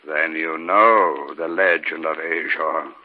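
An actor playing a courteous, menacing villain in a 1950s radio horror drama, speaking with deliberate politeness. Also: male voice, extremely low pitch, steady tone. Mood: coolly menacing. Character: sinister, courteous, menacing male villain with a dog. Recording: noisy, muffled 1950s radio broadcast.

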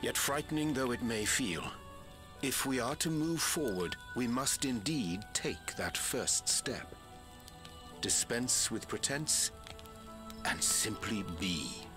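An actor playing a solemn, gentle elf sage in a fantasy video game, with stately, deliberate, archaic phrasing. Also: male voice, mid-range voice, animated. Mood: solemn, empathetic. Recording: background music under dialogue.

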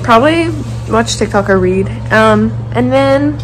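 A woman's voice: on the high side, expressive, unhurried, music in the background. A young American woman in her late teens, chatting casually and playfully to her vlog. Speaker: vlogger. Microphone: phone at arm's length. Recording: noisy handheld vlog audio, crowd chatter behind.

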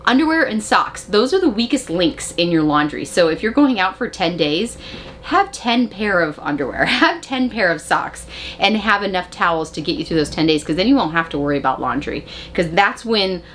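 An American woman with a slightly low voice talks to camera in a chatty, emphatic way. She sounds like a vlogger explaining practical tips in a small indoor space, with clear ambient noise behind her. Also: big pitch swings, normal speed, a bit bright. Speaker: vlogger sharing RV living tips. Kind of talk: casual instructional vlog talk to camera. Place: inside an RV living space and bedroom.

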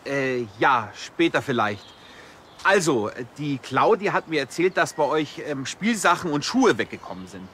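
A man's voice: fairly high pitch, very animated, measured pace, a bit bright, a little hiss or hum. An actor playing an earnest, naive junior policeman in a TV crime comedy, hesitant and unsure of himself. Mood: awkward, friendly.

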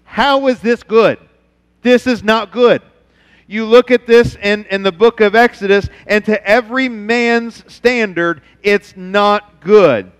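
Middle-aged pastor preaching from the stage, smooth and resonant, long church reverb. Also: male voice, high-pitched, slow. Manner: animated preaching, acts out God's voice in quotes. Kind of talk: sermon.

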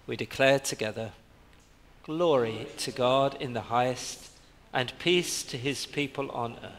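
A man leading prayers as a priest, reading liturgy in a slow, measured way from a lectern in a church. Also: medium pitch, a bit bright. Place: church interior with stained glass. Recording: reverberant church captured from afar with faint background hiss.

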